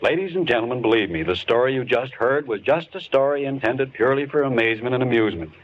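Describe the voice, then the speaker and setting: A man hosting an old-time radio drama, addressing listeners in an earnest, measured way. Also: mid-range voice. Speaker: radio drama host and writer. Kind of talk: 1930s radio show host. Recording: dull old radio broadcast with hiss.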